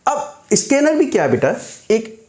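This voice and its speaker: Hoarse male teacher with a lively voice. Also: very high voice, roomy sound. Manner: asks students questions and answers them himself, addresses them as "beta"; reads English definitions slowly, then explains them in Hindi. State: dry, hoarse throat. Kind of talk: classroom lecture for exam students.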